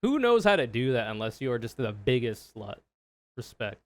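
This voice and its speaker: A man with a low voice giving casual, opinionated reaction commentary, swearing freely and explaining in loose run-on sentences. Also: very animated, normal speed, dry recording. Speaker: streamer reacting to a video.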